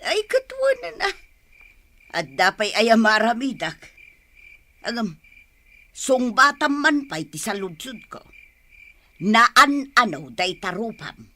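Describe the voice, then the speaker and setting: A woman playing an old grandmother in an Ilocano radio drama, halting and questioning, with drawn-out interjections. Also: fairly high pitch, very animated, sharp tone, full character voice. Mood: demanding.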